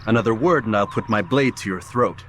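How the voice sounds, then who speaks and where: A man voices a proud, curt assassin in a video game. His voice is very deep and his delivery cool and restrained. Faint background noise sits behind the speech. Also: brisk, fairly bright tone, natural voice. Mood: threatening. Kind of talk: dramatic video game acting.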